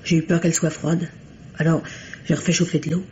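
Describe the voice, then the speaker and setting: A woman's voice: sits low, level tone, brisk, darkish tone, lightly acted. A woman playing a nervous, deferential household maid, hesitant and simply spoken, in old French radio mystery acting. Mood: anxious recollection. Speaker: radio actor. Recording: muffled, noisy old radio recording.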